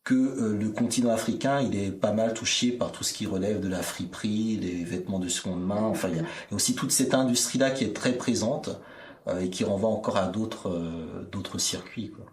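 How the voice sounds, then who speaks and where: A man from Benin, a guest in a casual discussion, who speaks in a measured, reflective way with many fillers. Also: lowish voice. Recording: roomy echo.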